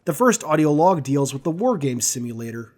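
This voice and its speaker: A man's voice: a little high, sing-song, fairly quick, darkish tone, slight background noise. A man hosting a scripted commentary on video game lore.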